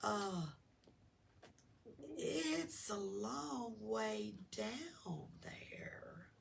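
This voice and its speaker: A woman voicing a small, excitable, curious male lizard in a children's storybook, exclaiming in a wide-eyed way. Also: mid-range voice, extremely slow, creaky, voice pushed up, exaggerated voice. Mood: nervous. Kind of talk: children's storybook read-aloud. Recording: distant mic with faint room noise.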